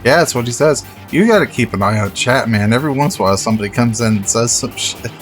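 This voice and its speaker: A man's voice: medium pitch, animated, quick pace, soft background music. A man in casual livestream chat, laid-back and matter-of-fact, free with swear words.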